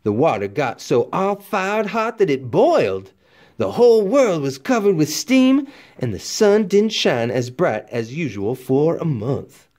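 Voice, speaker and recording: Animated man reading a storybook, lively pitch swings. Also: unhurried. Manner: animated, folksy storytelling with dramatic emphasis. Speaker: read-aloud narrator, teacher. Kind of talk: expressive scripted storybook narration for children.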